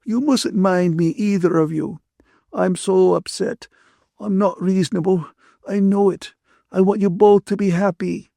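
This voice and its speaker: Man voicing a bitter, scolding woman, pitched high. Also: moderate pace, dark tone, dry recording, lightly acted. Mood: sobbing, upset. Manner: accusing, emphatic, aggrieved. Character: bitter, scolding, tearful woman, indignant and wounded.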